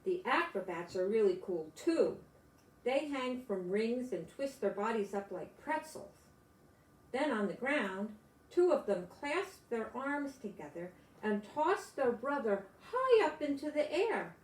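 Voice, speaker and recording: An older grandmother reads a children's story aloud. Her delivery is slow and very animated, with exclamations aimed at young listeners. The room around her sounds echoey. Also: a little high. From United States. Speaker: grandmother reading picture books aloud. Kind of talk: children's storybook read-aloud with chatty asides.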